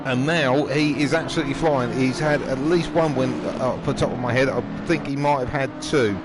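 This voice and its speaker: Slightly hoarse man calling a live race, relaxed and chatty, some background noise. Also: medium pitch, moderate pace. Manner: chatty, frequent ums and throat clearing. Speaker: race commentator. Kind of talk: live sim racing commentary. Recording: noisy background.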